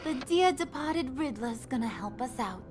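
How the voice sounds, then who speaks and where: A woman's voice: high voice, expressive, normal speed, over music. A woman playing a brash, mocking gang leader in a video game, bossy and playfully menacing, with a taunting sing-song delivery. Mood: smug.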